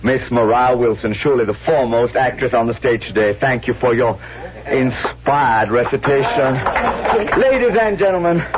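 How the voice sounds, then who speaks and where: A man's voice: fairly high pitch, close to an everyday voice. A man acting a master of ceremonies in a 1970s radio drama, projecting a rousing, exhortative public address. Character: rousing male master of ceremonies at fundraising ball. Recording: muffled vintage radio broadcast.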